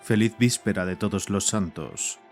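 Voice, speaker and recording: Male narrator, low voice, measured scripted horror storytelling, faint music underneath. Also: a little mellow.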